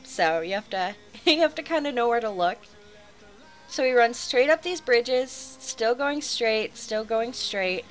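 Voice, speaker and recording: A woman's voice: fairly high pitch, a bit bright. A young woman with a head cold and a slightly nasal voice, casually chatting through a game walkthrough as its host, upbeat and laughing often.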